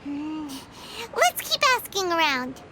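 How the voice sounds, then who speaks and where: Squeaky, very high childlike fairy companion. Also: female voice, slow pace, background noise, heavily acted. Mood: puzzled. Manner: talks of herself in the third person, expressive. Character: small childlike female fairy companion, earnest, chatty. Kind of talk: English game dub cutscene dialogue.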